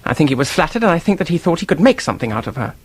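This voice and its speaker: An actor playing an earnest, defensive office worker in a radio mystery, husky-voiced, hesitant and blurting, with a temper underneath. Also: male voice, fairly high pitch, big pitch swings, rapid-fire. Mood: frank, earnest. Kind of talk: radio drama acting, detective mystery.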